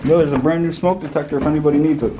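A man's voice: a little high, fairly even intonation, racing, dull audio. A young man in casual, slangy, joking chat while exploring indoors, picked up by a handheld camera. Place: inside a derelict building. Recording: noisy handheld camera audio.

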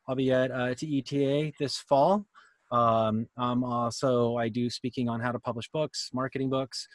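Male, middle-aged, American. Informal online presenter, mid-pitched voice, dry close sound. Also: no room sound. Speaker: presenter, children's playwright and drama teacher. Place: indoor room at home, video call.

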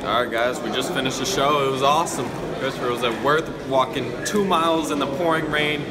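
A man's voice: mid-range voice, fairly even intonation, a little fast, over a noisy crowd. A young man chatting upbeat to his viewers while he films himself on a phone held at arm's length, walking around a city. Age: young adult. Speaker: vlogger. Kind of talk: casual travel vlog narration. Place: streets and shops of a city, often outdoors in rain. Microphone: phone camera at arm's length. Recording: phone audio with loud street noise.

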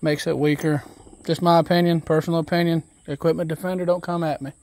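A man casually walking through an assembly job outdoors, reading steps aloud and thinking out loud in a relaxed, folksy way, recorded on a phone camera held close. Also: a little high, fast, no room sound. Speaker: DIY video host. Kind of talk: casual step-by-step assembly walkthrough. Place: outdoors on a wooden deck.

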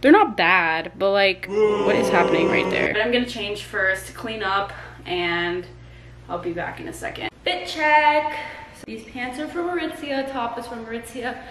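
A woman's voice: mid-range voice, normal speed, reverberant, noisy background. A young woman in her twenties chatting casually and bubbly in a home vlog, picked up on a camera mic across the room. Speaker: vlogger. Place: bedroom and living room at home.

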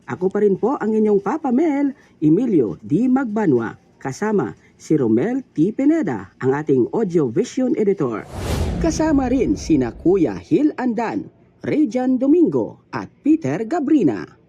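A man's voice: sits high, wildly swooping pitch, measured pace, dark tone, highs cut off, slight background noise. A man with a Filipino accent, hosting and announcing for an audio drama programme in radio style. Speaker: channel host and announcer.

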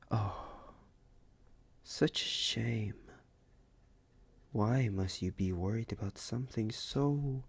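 A man's voice: on the low side, gravelly, a little mellow, husky, full character voice. A man voicing a possessive, doting alpha werewolf boyfriend in an ASMR roleplay, intimate and suave, slipping into whispers. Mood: impatient, coaxing. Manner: slow, intimate, partly whispered, suave and condescending. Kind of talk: ASMR romance roleplay acting.

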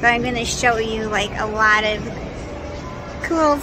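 A woman's voice: a little high, measured pace, rough recording. A middle-aged woman filming a chatty shopping vlog on her phone in a large indoor mall, talking to her viewers. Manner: chatty and excited, addresses viewers. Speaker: vlogger. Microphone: phone at arm's length, selfie. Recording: background music over phone audio. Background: background music.

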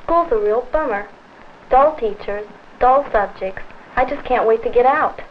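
A woman's voice: fairly high pitch, measured pace, natural voice. A woman playing a bored, disgruntled teenage schoolgirl, blunt and complaining, in an educational film. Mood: fed up. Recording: muffled, faintly noisy 1960s film sound.

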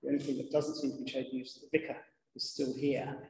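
A middle-aged man, a vicar giving a sermon in a measured, reflective manner with deliberate pauses. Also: mid-range voice, level tone, creaky, darkish tone, slight background noise. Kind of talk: sermon to a congregation. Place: large church interior, indoors. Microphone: lectern gooseneck microphone. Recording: echoey church hall with long reverb.

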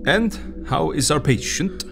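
Gravelly, grave older professor. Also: male voice, fairly high pitch, expressive, music in the background, heavily acted. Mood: calm. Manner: deliberate, emphatic, halting foreign phrasing. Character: grave, urgent older Dutch professor and doctor. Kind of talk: audio drama acting.

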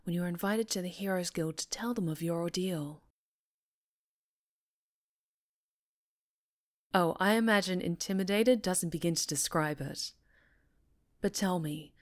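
A woman's voice: lowish voice, moderate pace, lightly acted. A woman playing a polished, encouraging female talk show host in a scripted ASMR roleplay, articulate and reassuring with a showy presenter's delivery. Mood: earnest, showy.

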